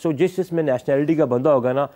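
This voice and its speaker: Mellow-voiced Pakistani male cricket analyst. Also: fairly high pitch, quick pace. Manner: emphatic, explanatory, switching often between Urdu and English. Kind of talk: sports talk show analysis.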